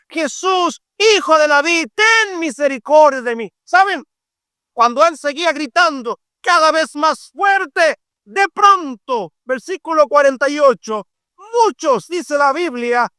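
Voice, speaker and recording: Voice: high voice, bright, forceful tone, no room sound, studio quality. A middle-aged preacher with a Dominican accent delivering a fervent evangelistic sermon, emphatic and repetitive, drawing out key phrases. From Dominican Republic.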